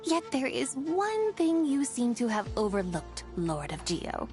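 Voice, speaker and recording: A woman's voice: high voice, huge pitch swings, a little slow, a little mellow, full character voice. A woman playing a haughty, sly, ancient female horse spirit in a fantasy game, with theatrical, archaic, teasing formal speech. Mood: probing. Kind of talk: fantasy game cutscene acting. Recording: music behind the voice.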